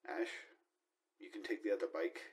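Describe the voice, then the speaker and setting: A man playing a commanding, impatient spaceship mission leader in an amateur audio drama, speaking in a measured, deliberate way. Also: darkish tone, lightly acted.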